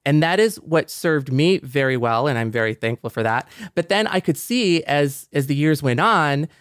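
A man's voice: on the high side, lively intonation, moderate pace, clear, resonant tone, dry recording, studio quality. A man chatting casually as a guest, telling things in an emphatic way with repeated words for stress. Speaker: panel guest, former blogger. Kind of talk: casual chat.

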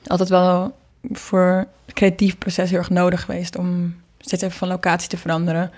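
A woman's voice: fairly low pitch, monotone, normal speed, darkish tone. A woman talking casually as an interview guest, telling anecdotes and acting out bits of dialogue. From Netherlands. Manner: informal, anecdotal, re-enacts dialogue. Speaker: singer and producer, podcast guest.

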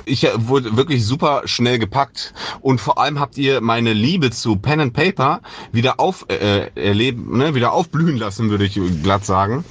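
A man's voice: medium pitch, lively intonation, moderate pace, breathy edge. A man with an agreeable voice, talking casually and at length in a listener's voice message. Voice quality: pleasant, agreeable voice. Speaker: listener sending a voice message question.

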